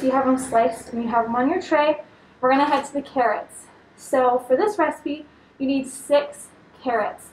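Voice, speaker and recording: A woman's voice: high-pitched, slight background noise. A young woman giving an upbeat, instructive cooking demonstration in a large kitchen, talking as she works with food. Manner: upbeat, instructive, talks while handling food. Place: large studio kitchen indoors. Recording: echoey kitchen, mic a bit distant.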